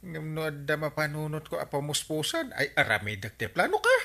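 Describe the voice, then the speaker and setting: A man's voice: fairly high pitch, wildly swooping pitch, fairly quick, gravelly, bright, forceful tone, exaggerated voice. A man with an Ilocano accent playing an eager, evasive husband in a radio comedy drama. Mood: evasive scheming. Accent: Ilocano Filipino.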